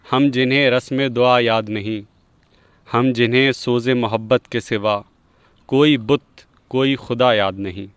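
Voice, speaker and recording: Male poetry reciter, smooth resonant voice, slow and earnest, dry recording. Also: mid-range voice, fairly bright tone, dry recording. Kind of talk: poetry recitation with a short spoken introduction.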